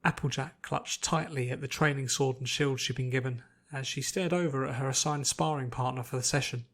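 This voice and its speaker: A man reads fantasy fiction aloud as a narrator. His mid-pitched voice carries a scripted audiobook delivery. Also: steady tone, fairly quick.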